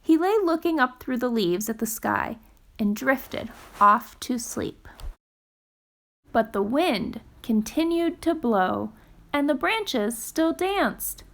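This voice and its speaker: A woman reading a children's storybook aloud in a careful, gentle, expressive storytelling style. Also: high-pitched, slow pace. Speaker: storytime reader.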